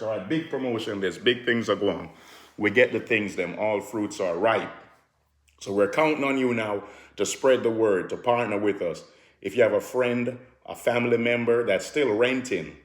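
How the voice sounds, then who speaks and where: A middle-aged man with a Jamaican accent, pitching home improvement deals in a relaxed, chatty way, peppered with "all right". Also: roomy sound. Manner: relaxed, repetitive "all right" fillers, sips a drink while talking. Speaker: home improvement company promoter. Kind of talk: casual promotional talk to camera.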